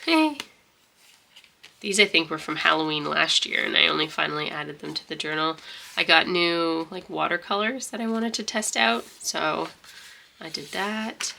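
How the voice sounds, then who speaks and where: Woman, stuffy congested voice, bright tense tone, low pitch, rambling casual chat. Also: moderate pace, gravelly, slight background noise. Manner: rambling, chatty, many fillers and restarts. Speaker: journaling vlogger. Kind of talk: casual flip-through narration.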